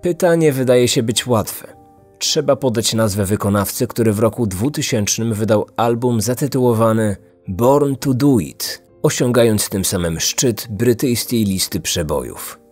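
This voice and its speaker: A man's voice: lowish voice, animated, unhurried, a little mellow, soft background music. A man narrating a scripted true-crime story as a podcast host.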